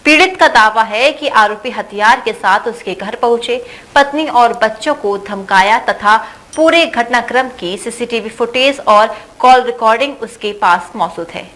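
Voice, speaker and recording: A woman reading a scripted news report in a formal, even voice-over. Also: a little high, normal speed. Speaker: news reader.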